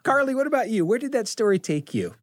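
A man hosting a radio show and telling stories in a deliberate, scripted style with dramatic phrasing. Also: extremely high pitch, sing-song, dry recording, studio quality. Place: radio studio.

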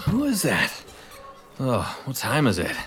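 A man's voice: medium pitch, huge pitch swings, a little slow. An actor playing a weary, skeptical father in a family audio drama, exasperated and dismissive. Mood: groggy, annoyed.

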